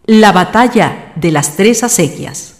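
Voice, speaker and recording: A woman reading scripted radio drama narration as a deliberate, theatrical storyteller. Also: mid-range voice, animated.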